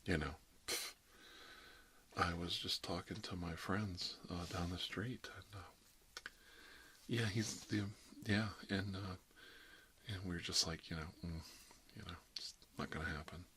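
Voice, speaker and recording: A man acting as a chatty emergency dentist for monsters in an ASMR roleplay. His voice is very low yet bright and forward. He rambles hesitantly, slipping into half-whispers, over faint background noise. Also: a little slow, pauses often. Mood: awkward, defensive. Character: chatty, friendly emergency dentist treating monsters, mildly bigoted. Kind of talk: ASMR roleplay, improvised one-sided conversation.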